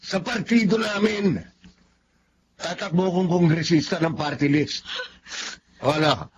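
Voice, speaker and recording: A man's voice: fairly high pitch, a little slow, crowd noise, natural voice. A man playing a blunt, defensive, ambitious husband in a Filipino drama, talking in a curt, dismissive way. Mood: defensive, irritated. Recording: muffled lo-fi drama recording, clear background noise.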